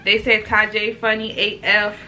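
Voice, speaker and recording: A woman's voice: medium pitch, monotone, moderate pace, noisy background. A woman in her twenties co-hosting a couple vlog, talking casually. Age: 20s. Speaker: vlog co-host. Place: car interior, later a bedroom at home. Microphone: action camera in car, later handheld camera a little way off.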